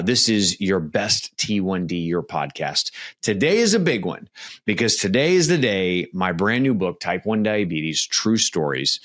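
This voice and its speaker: A man hosting a podcast, talking and reading his own writing in a conversational, emphatic way with dramatic pauses. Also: lowish voice. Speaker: podcast host and author. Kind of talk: casual podcast talk, then storytelling book reading.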